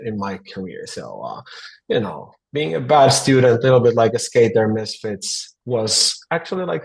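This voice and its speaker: Finnish man, halting casual talk. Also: mid-range voice, vocal fry, poor audio. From Finland. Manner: halting, many restarts and fillers, laughs easily. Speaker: guest.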